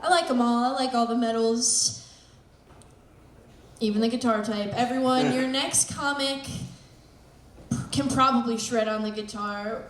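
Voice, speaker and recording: Slow-paced, high-voiced woman hosting comedy. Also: fairly even intonation. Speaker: open mic host, comedian. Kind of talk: casual stand-up hosting banter. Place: small live comedy venue. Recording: echoey live room, faint noise.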